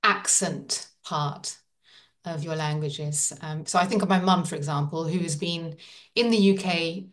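A woman's voice: deep voice, a little slow, far from the mic. A woman in casual conversation, talking thoughtfully with frequent fillers and restarts.

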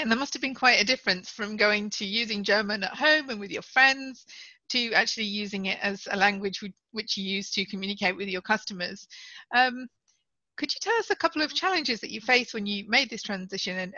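A woman hosting a podcast, interviewing a guest in a clear, friendly, explanatory way. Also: medium pitch, brisk, sharp tone, dry recording, highs cut off. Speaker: podcast host and English teacher.

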